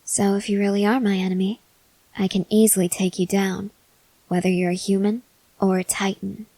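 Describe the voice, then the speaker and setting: A woman playing a stoic, confident young woman soldier in an ASMR roleplay, speaking in a measured, serious, guarded way with hesitant pauses. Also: mid-range voice, little pitch movement, dark tone, no echo, lightly acted, rough recording. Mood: cool, confident. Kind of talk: ASMR roleplay, acted scene to a listener.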